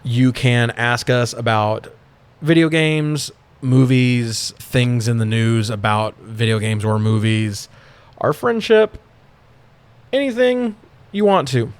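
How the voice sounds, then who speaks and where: A man with a clean, resonant voice at an average male pitch. He co-hosts a podcast and talks in an easygoing, conversational way. His wording is informal, with the odd swear word. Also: slow, clean tone, no room sound. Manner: informal, occasionally profane. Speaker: podcast co-host. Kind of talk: casual podcast chat.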